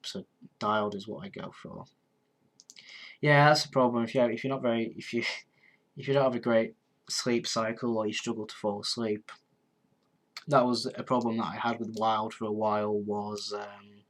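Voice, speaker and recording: A man, chatting casually on a live stream and answering comments. His nose is blocked and sniffly, and he rambles, pausing on ums and restarting his sentences. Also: on the low side, fairly bright tone. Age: 20s. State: congested, sniffly.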